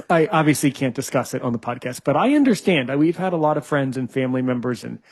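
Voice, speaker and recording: A man's voice: medium pitch, lively intonation, quick pace, husky. A man hosting a podcast interview over a video call, joking and teasing his guest, with lots of restarts and "you know". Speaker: podcast host.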